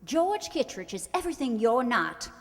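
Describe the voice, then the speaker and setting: Woman acting a sharp-tongued young bride, high voice, swooping intonation, haughty, theatrical, radio drama. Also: big pitch swings, moderate pace. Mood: indignant. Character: proud, sharp-tongued young society bride, longing to be loved. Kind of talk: amateur radio play acting. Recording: echoey hall, long reverb.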